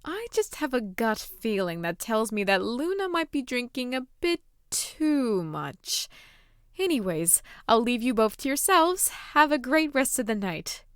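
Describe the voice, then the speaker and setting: A woman's voice: fairly high pitch, sing-song, measured pace, clean tone, no room sound, studio quality. A woman voicing a composed, wistful pony princess in a cartoon-style audio drama. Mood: polite, composed. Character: Princess Celestia, regal adult pony mare, composed, wistful. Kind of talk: animated-series fan audio drama.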